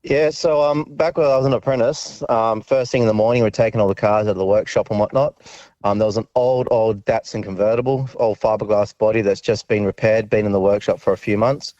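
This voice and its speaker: A man's voice: fairly low pitch, fairly even intonation, a little fast, mellow, no echo. A man, a radio caller telling a casual anecdote and explaining it step by step. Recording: muffled, dry phone-in line.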